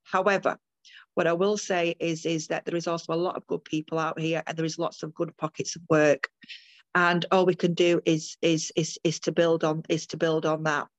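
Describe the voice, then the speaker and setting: A woman's voice: low-pitched, level tone, moderate pace, no echo. An older woman from the UK, a midwife, talking earnestly about her work as a guest in an interview, with frequent ums and restarts. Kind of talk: interview over a call.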